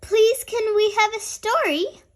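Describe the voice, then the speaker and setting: Woman as an excitable high-pitched little girl pig. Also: measured pace. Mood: eager. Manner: exclaiming, pleading. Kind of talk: children's storybook read-aloud.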